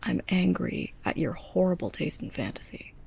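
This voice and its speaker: A young woman playing a sarcastic, scolding, snobbish librarian's assistant in a close-up ASMR roleplay, breathy and often near a whisper. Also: fairly low pitch, expressive, moderate pace, darkish tone, heavily acted. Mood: annoyed, sarcastic. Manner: sarcastic, exasperated, pointed delivery.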